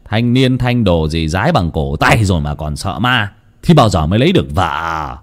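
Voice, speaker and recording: A sly middle-aged village patriarch acted out by a male storyteller, gruff and earthy, with a low voice that swings as he scolds and teases. He belongs to a spooky folk tale told aloud. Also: big pitch swings, normal speed. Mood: brusque teasing. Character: gruff, teasing middle-aged village clan-head uncle.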